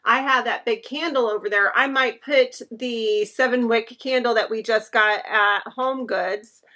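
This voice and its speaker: A woman in her thirties talks to the camera from her living room while she unboxes home decor. Her voice is bright and a little high, and she takes her time, gushing over each piece. The sound comes from a camera set across the room. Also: a little slow. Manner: chatty and enthusiastic, gushing over items. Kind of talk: casual unboxing chat to camera.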